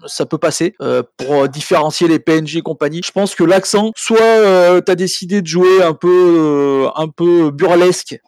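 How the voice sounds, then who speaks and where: A man giving his opinions as a panelist in a casual discussion, speaking haltingly with many colloquial tags. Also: on the high side, vocal fry, a little mellow, no echo. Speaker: panelist on a role-playing games show.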